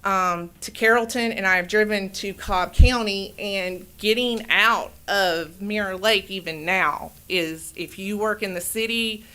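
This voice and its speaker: A woman giving public comment at a city meeting into a podium microphone, loud and rambling, often correcting herself. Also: medium pitch, measured pace, hardly any pauses, clear, resonant tone. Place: city council chamber.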